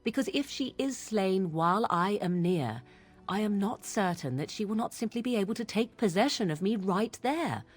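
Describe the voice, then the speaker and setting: An actress voicing a guarded, imperious young witch in a fantasy video game, speaking formally and with measured phrasing. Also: mid-range voice, expressive, breathy edge, soft background music. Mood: cool, resolute. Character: guarded, imperious young witch plotting against her mother.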